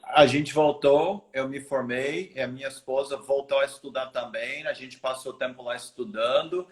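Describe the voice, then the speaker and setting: An American man, a missionary guest, telling stories earnestly over a video call, with frequent restarts and a noticeable American accent. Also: a little high. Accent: American English. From United States. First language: English. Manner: earnest storytelling with many restarts. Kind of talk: live video interview.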